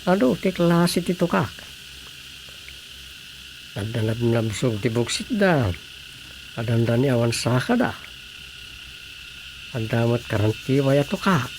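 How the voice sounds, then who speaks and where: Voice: medium pitch, expressive, a little slow, long pauses, background noise. An actor with an Ilocano accent playing a musing, muttering reader in a comic radio drama, rambling with sighs and interjections. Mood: rambling wonder. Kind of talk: radio drama comedy monologue.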